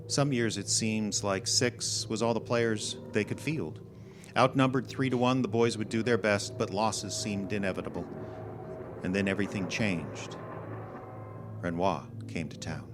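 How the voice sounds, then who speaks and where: A man's voice: on the low side, noisy background. A man reading a scripted story as a narrator, recorded live in a performance club. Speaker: reader of a ghost story.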